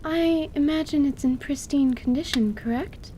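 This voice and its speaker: Bookish lady wizard, acted by a woman: guarded, precise, formal, mellow tone, slightly high, fantasy audio drama. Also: normal speed, a little hiss or hum, natural voice. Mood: measured. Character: bookish, guarded female wizard who over-explains magic.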